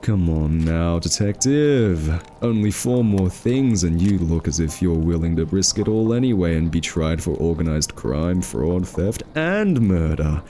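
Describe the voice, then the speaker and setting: A man's voice: low-pitched, expressive, a little slow, hardly any pauses, dark tone, slight background noise. A male voice actor playing a smug, flirty thief in an ASMR roleplay, teasing and drawling with suggestive innuendo. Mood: mocking, coaxing. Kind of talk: ASMR roleplay, scripted character acting.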